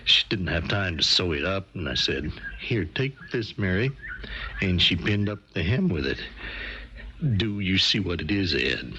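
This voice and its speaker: A man's voice: low-pitched, expressive, measured pace. An actor playing a homespun man explaining a clue, plain and folksy, in a 1970s radio drama. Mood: earnest, sad. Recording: muffled noisy vintage radio recording.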